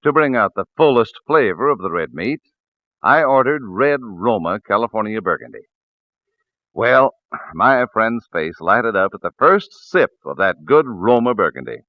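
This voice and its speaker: Old time radio ad guy, lively swooping polished delivery, tinny narrow sound. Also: lowish voice, no echo. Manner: polished, deliberate sales delivery. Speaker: announcer. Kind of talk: 1940s radio commercial announcing. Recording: muffled vintage radio recording, phone-like band, faint music.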